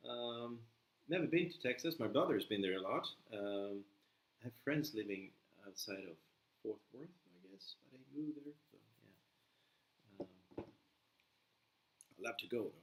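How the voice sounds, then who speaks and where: A man's voice: mid-range voice, lively intonation, unhurried, pauses often, reverberant, low-quality recording. A man from Sweden with a Swedish accent, chatting casually to viewers of his live stream while building, rambling and answering comments. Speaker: livestream host building a model kit.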